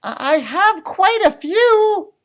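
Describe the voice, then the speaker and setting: A squashed, worried rabbit from a children's storybook, acted out by a man reading aloud. He is high and fretful, confused and hesitant, stretching his words with lively swings in pitch. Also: takes it slowly, exaggerated voice. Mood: doubtful, hesitant.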